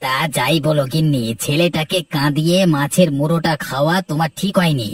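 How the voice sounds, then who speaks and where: A man's voice: a little high, fairly even intonation, brisk, breathy edge, no room sound, far from the mic. A man voicing an exasperated, sarcastic husband in a Bengali family cartoon, with a dry, chiding manner. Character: exasperated, sarcastic husband scolding his greedy wife.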